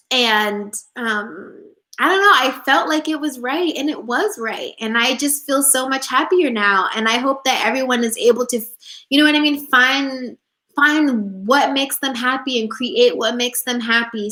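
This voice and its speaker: Young woman in her twenties, vlogger: bright, slightly high voice, upbeat and chatty, many "like"s. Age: 20s. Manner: chatty and upbeat, many "like"s, reads out viewer comments. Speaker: spiritual coach and vlogger. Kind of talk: casual livestream chat answering viewer questions.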